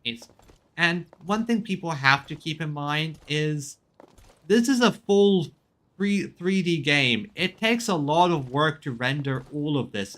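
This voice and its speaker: A man streaming a game, chatting casually in a halting way, often repeating and rephrasing himself mid-sentence. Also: a little high, sharp tone. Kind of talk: casual chat commentary on a game. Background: music in the background.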